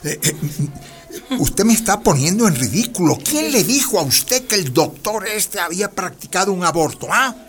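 Moralizing priest, high voice, very animated, flustered repetitions, radio drama over music. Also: male voice, moderate pace. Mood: indignant, accusing. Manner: blustering, stammering, repeats words.

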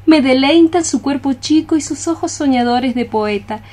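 An actress playing a lovesick young maiden cockroach, lyrical and declamatory in a poetic radio play. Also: female voice, sits high, moderate pace, darkish tone, natural voice. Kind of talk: poetic radio theatre acting.

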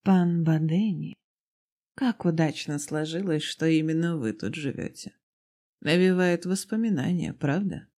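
Storybook role: narrator plays genial former priest, male, polite, slow-spoken, dark soft husky tone. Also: medium pitch. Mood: pleased. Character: genial, courteous middle-aged man, former priest neighbour.

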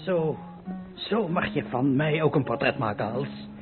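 A man playing an impulsive, warm-hearted friend of a painter in a Dutch radio play, colloquial and insistent. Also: mid-range voice, lively intonation, unhurried, natural voice. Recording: muffled old radio recording, faint music.

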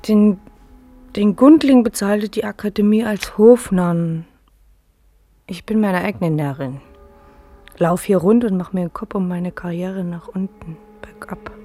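A woman's voice: mid-range voice, unhurried, mellow, lightly acted. An actress playing a lonely, self-mocking female night guard in a German radio play, musing hesitantly and repeating her words. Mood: brooding, self-mocking.

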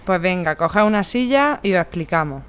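A woman playing a calm, practical veteran housing activist in a radio drama, explaining things in an organised way. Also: lowish voice, moderate pace, very noisy, close to an everyday voice. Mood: calm, helpful. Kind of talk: amateur radio drama acting.

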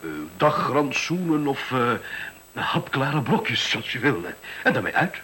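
A man's voice: medium pitch, expressive, unhurried, bright, forceful tone. An actor in a radio play voicing a smug, condescending male school director who talks glibly about efficiency and interrupts himself. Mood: patronising, breezy. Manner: condescending, glib, self-interrupting. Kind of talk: radio drama acting, conversational monologue. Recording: hissy, noisy old radio recording.

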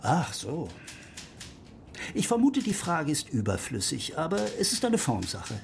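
An exasperated, officious district attorney in a crime radio play. His fairly high voice puts questions formally and in clipped phrases. There is some noise behind him. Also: huge pitch swings, a little slow, vocal fry, exaggerated voice. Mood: stern, formal. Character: exasperated, officious middle-aged male district attorney named Lawrence. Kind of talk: radio crime drama acting.

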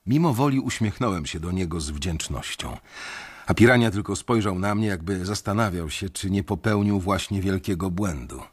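A young bank analyst who narrates his own story in a radio play, wary and guarded. His low voice has a husky edge. He tells it in a restrained, measured way. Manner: restrained first-person narration.

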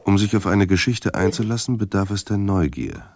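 A man narrating a noir audio drama in terse, measured, brooding sentences. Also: lowish voice, expressive, darkish tone, no room sound. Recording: rain and street noise behind voice. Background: rain, traffic ambience.

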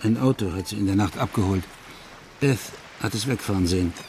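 A man's voice: on the low side, brisk, a little mellow, slight background noise, lightly acted. An actor playing a wry, laid-back Dutch ex-police detective telling his tales in a radio crime drama. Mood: dry irony.

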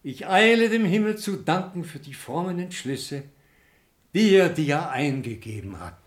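A man's voice: fairly high pitch, animated, measured pace. A man playing a pious, tender elderly father in a German radio play, declaiming with emotion. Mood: moved, grateful.

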